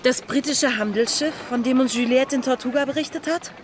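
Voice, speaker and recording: An actress playing a commanding, impatient young female pirate in an audio drama, speaking with sharp insistence. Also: high-pitched, brisk, background noise, close to an everyday voice. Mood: urgent, insistent.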